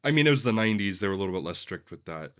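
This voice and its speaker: A man's voice: lowish voice, animated, rapid-fire, fairly bright tone, no room sound, clean studio sound. A man co-hosting a casual film chat, talkative and opinionated with a wry streak. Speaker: podcast co-host. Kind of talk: casual, conversational movie chat.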